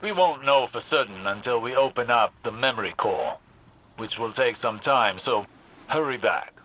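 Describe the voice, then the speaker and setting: An actor playing a wise, measured sorcerer-scholar, speaking calmly and deliberately in video game dialogue. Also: male voice, medium pitch, slight background noise, natural voice, rough recording. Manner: calm, deliberate and grave. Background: game score music.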